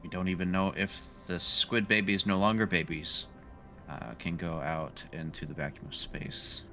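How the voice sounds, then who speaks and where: A man's voice: low-pitched, flat delivery, moderate pace, pushed and bright, faint music behind. A man talking casually as a player in a tabletop roleplaying game.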